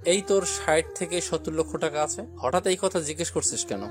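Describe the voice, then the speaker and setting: A man voices a supportive middle-aged father in an audio story, talking quickly in a slightly high voice. He is casual and practical, with music clearly underneath. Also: close to an everyday voice. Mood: calm, supportive.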